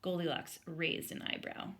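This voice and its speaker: A woman's voice: fairly low pitch, flat delivery, a little slow, fairly bright tone. A woman speaking as an elementary teacher, reading aloud and explaining to children step by step. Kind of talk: storybook read-aloud and lesson for children.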